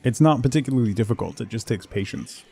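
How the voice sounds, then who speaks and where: A man's voice: medium pitch, expressive, fast, creaky, warm tone, breathy edge. A man doing casual solo commentary on a gaming stream, muttering to himself with playful asides. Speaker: game streamer.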